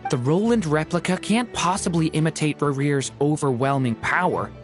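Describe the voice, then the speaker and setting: An actor playing a keen, articulate researcher in a video game, explaining analytically and with emphasis. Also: male voice, on the high side.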